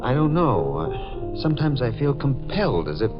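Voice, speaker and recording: A man's voice: mid-range voice, very animated, moderate pace, lightly acted. An actor playing an ardent, urgent male lawyer courting a woman in a 1940s radio drama. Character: Richard, ardent, urgent young lawyer suitor. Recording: dull old radio recording, music behind.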